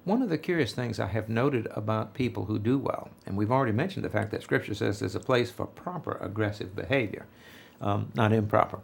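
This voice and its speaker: A man hosting a religious radio discussion, talking in a conversational, anecdotal way with a gravelly, husky voice. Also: fairly low pitch, a little fast, hardly any pauses. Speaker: radio show host.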